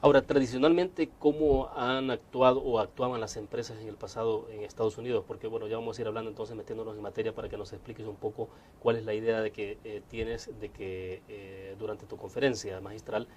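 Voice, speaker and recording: A male interview host with a slightly low voice. He talks quickly in a conversational, wordy style that circles around each question. Noticeable noise sits behind his voice. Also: little pitch movement, a little mellow.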